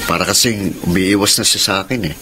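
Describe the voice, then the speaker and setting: A discreet, evasive Filipino friend in a radio drama. His voice sits low, with faint music behind it. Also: quick pace, bright, forceful tone. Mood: guarded.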